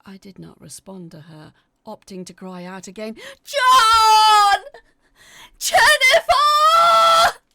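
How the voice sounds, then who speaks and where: A woman reading a scripted first-person horror story in a theatrical, expressive way, as its dramatic narrator. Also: lowish voice, moderate pace, vocal fry, few pauses, very noisy.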